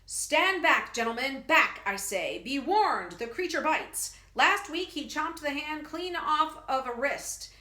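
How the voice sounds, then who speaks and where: Brief: a woman doing a grandiose, booming medicine-show barker, bright and high, echoey room. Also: unhurried. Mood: warning. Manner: grandiose, booming showman delivery. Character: bombastic male traveling medicine-show huckster.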